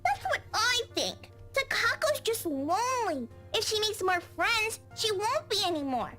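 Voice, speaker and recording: A woman's voice: extremely high pitch, big pitch swings, unhurried, sharp tone, faint music behind, heavily acted. A woman voicing a chatty, earnest little schoolgirl in a visual novel game. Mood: chatty, hopeful.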